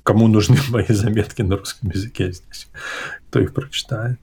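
A man hosting a podcast, talking casually and reflectively, often pausing and correcting himself mid-sentence. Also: on the low side, steady tone, darkish tone, slightly rough. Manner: hesitant, self-correcting, reflective. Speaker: podcast host. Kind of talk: casual conversational interview. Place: abroad, in an English-speaking country.

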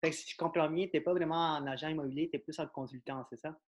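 A man's voice: fairly high pitch, steady tone, fast talker, dull audio. A man hosting a podcast, asking questions in a loose, informal way. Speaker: podcast host. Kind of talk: casual interview questions.

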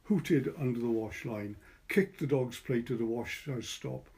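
A man's voice: mid-range voice, unhurried, a little mellow. A man with a Welsh accent, a curator talking informally and reading a literary short story aloud. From Wales.

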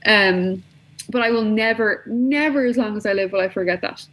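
A woman's voice: mid-range voice, moderate pace, a bit bright, poor audio. A young woman co-hosting a podcast, chatting casually and swearing freely as she tells stories. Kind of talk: casual chat.

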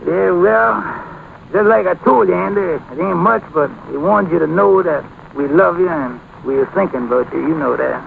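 An actor playing a loving, patient, middle-aged father in an old-time radio drama, speaking in a gentle, folksy, unhurried way. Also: high-pitched, creaky. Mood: warm, friendly. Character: loving, patient father explaining prayer to young daughter. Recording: very noisy old radio broadcast recording.

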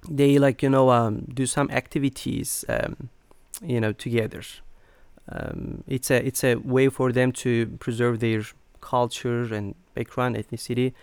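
A middle-aged man from Turkey, speaking as an interview guest in a halting way with many fillers. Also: medium pitch, steady tone, gravelly, a little mellow, smooth, no room sound. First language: Turkish. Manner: hesitant, many fillers and restarts. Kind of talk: conversational interview.

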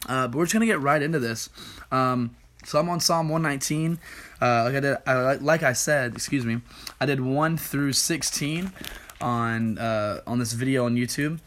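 A young man, a youth worship leader, giving a casual Bible study talk in a tired, slightly hoarse voice, often saying um and clearing his throat. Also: mid-range voice, normal speed, fairly bright tone. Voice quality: many ums and throat clearing.

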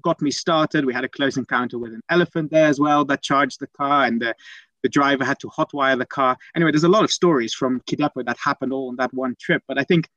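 A man's voice: mid-range voice, quick pace, a bit bright, no room sound, lo-fi sound. Hesitant young man from the Netherlands. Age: young adult. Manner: hesitant, many restarts and ums. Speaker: guest, conservation program manager. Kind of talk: casual storytelling interview.

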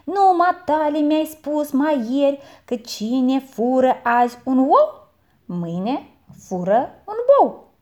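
A woman's voice: sits high, very animated, mellow, pitched above the performer's own voice. A woman voicing a sly, cheeky twelve-year-old orphan boy who plays dumb and innocent.

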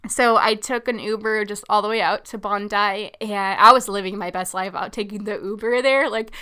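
Bubbly young woman, bright clear voice. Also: medium pitch, fairly even intonation, normal speed. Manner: chatty, upbeat, lots of "like" and "um", laughs between thoughts. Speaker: podcast host. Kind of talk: casual solo podcast, answering listener questions.

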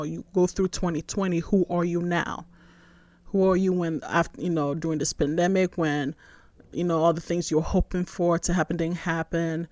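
A woman's voice: fairly low pitch, no echo. Woman podcast host, dry close sound. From born in New York, raised in Nigeria. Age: 40s. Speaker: podcast host, church spiritual formation director. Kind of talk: casual devotional podcast talk.

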